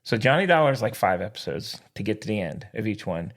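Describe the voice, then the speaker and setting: A man's voice: on the low side, very animated, a little fast. A middle-aged man co-hosting a podcast in casual banter, folksy and slangy, playfully boastful and repeating himself for emphasis. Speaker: podcast co-host.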